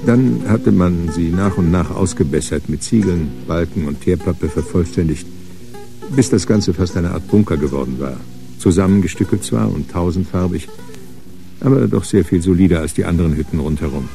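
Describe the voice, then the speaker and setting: A man acting as the measured narrator of a hard-boiled crime radio play. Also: sits low, creaky, music in the background. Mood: measured, narrating. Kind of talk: scripted narration in a radio drama.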